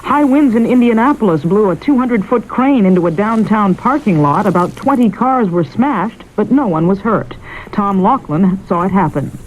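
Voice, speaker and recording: A woman's voice: medium pitch, lively intonation, moderate pace, darkish tone, rough recording. A woman with an American accent anchoring a vintage radio newscast in a scripted news-reading style. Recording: vintage radio broadcast, faint noise.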